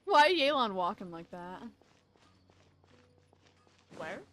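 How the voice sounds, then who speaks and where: A woman's voice: mid-range voice, sing-song, slow, a bit bright, dry recording. A woman game streamer giving blunt, sweary, incredulous reactions in a casual way over gameplay.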